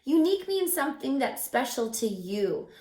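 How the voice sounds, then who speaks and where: A woman reads aloud for young children in a fairly high voice. Her delivery is animated and emphatic, with drawn-out phrases aimed straight at the listeners. The room echoes noticeably. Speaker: storyteller, children's book reader.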